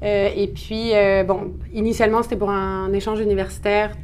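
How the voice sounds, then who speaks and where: Female interview guest, thirties, French accent from France, talkative storyteller, mid-pitched, some noise on the recording. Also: level tone, normal speed, a little mellow. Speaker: interview guest, urban planner. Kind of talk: conversational interview, personal storytelling.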